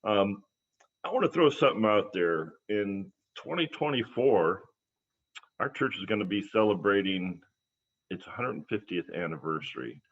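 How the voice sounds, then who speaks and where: A man's voice: on the low side, animated, a little slow, husky, narrow, tinny audio. An American man leading an online church service informally, tentative and repeating words, heard through video call audio.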